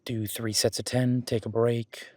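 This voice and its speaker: A man's voice: mid-range voice, little pitch movement, unhurried, darkish tone, no echo, natural voice. A man playing a friendly, patient werewolf boyfriend who coaches a workout in a casual, instructive audio roleplay. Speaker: gym training coach in roleplay.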